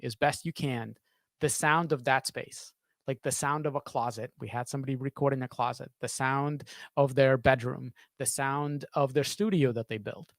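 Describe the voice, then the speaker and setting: A man in his thirties, a sound designer, explaining things step by step in a halting, thoughtful way during an interview. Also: medium pitch, steady tone, no room sound, studio quality. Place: small home studio with acoustic panels. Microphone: close studio microphone on boom arm, headphones.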